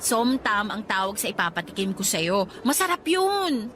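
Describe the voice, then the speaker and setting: An actress playing a bubbly, teasing young Filipina friend in a radio drama, with a playful, expressive Filipino accent. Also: female voice, mid-range voice, moderate pace, a little hiss or hum.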